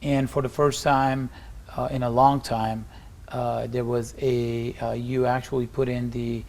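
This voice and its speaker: A middle-aged man hosting an interview, asking questions haltingly with frequent pauses. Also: mid-range voice, flat delivery, a little mellow. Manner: hesitant, many fillers and restarts. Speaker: interview host.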